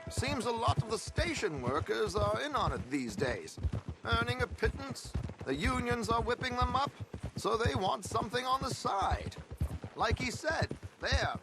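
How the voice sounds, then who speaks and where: Flamboyant Wild West swindler: high, swooping delivery, pompous and teasing, game cutscene with loud music behind. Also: male voice, sing-song, unhurried, vocal fry, heavily acted, rough recording. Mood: wry, breezy. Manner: theatrical, pompous, dryly teasing, florid wording. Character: flamboyant, smooth-talking male con artist in the Old West.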